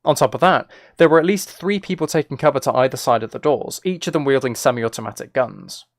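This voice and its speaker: A man's voice: medium pitch, quick pace, dry recording, clean studio sound. A man giving a dramatic scripted audiobook-style narration as an author reading a story. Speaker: author and streamer reading own book.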